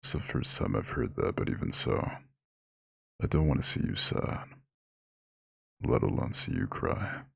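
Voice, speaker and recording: A man voicing an ancient eldritch god in an ASMR roleplay, proud and lordly yet tender, with a very deep, husky, gravelly growl. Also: lively intonation, fairly bright tone, no echo, studio quality, full character voice. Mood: soft, tender. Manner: lordly, formal, slow comforting address. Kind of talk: scripted ASMR roleplay monologue.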